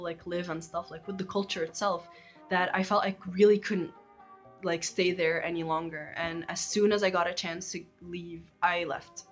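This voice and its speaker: An Egyptian woman in her twenties, talking casually to camera about her life as a channel host, with many likes and ums. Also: lowish voice. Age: 20s.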